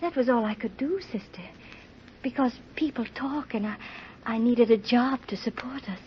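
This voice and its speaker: A woman's voice: fairly high pitch, normal speed, natural voice. An actress playing a fragile, yearning young sick mother in a 1930s radio drama, breathless and emotional. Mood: wistful. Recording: dull noisy 1930s radio recording.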